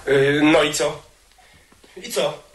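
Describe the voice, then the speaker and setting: An actor playing an irritable, condescending young gentleman clerk in a radio drama, curt and impatient. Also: fairly high pitch, slow. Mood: rattled, gloomy. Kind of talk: 1970s Polish radio drama acting. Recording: roomy old radio recording, faint hiss.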